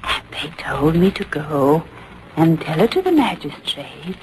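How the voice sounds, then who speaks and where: A haunted matron on the witness stand in an old radio play. She speaks slowly and fearfully, her pitch moving widely, with faint noise on the recording. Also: sing-song. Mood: hushed dread. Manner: slow, dramatic, halting testimony. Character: fearful, haunted matron testifying against a witch. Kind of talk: old radio drama acting.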